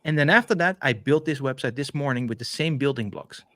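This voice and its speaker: A man with a Dutch accent casually walking through a software demo on a live stream, chatty and full of fillers and restarts, heard over a video call. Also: medium pitch, a little fast, no room sound. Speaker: developer advocate co-hosting a stream. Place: home office, video call.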